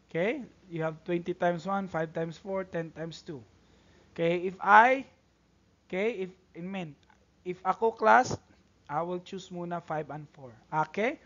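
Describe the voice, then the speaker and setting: A man's voice: high voice, measured pace, fairly bright tone. A man with a Filipino accent and Bisaya as his first language explains like a classroom teacher. He repeats steps and checks in often. The recording is dull and lo-fi. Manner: instructional, repetitive, checks in often. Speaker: math teacher. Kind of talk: tutorial lesson, code-switching explanation.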